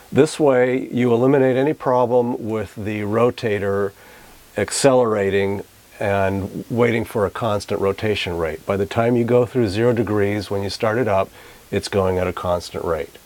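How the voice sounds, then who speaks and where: Casting: male instructor, 40s, slightly low voice, clear explanatory demonstration style. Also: a little mellow. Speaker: physics lab instructor. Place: small anechoic chamber, indoors.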